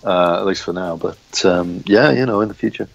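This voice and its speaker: A man's voice: deep voice, moderate pace, vocal fry, breathy edge. A man chatting casually as an interview guest, hesitant and informal, on a muffled recording that sounds like a remote call. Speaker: interview guest, comics writer. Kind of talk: casual chat.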